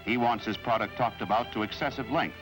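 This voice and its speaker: A man with an American accent narrates a 1950s training film from a script in a formal, measured, explanatory way. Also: lowish voice. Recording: vintage 1950s film soundtrack with music.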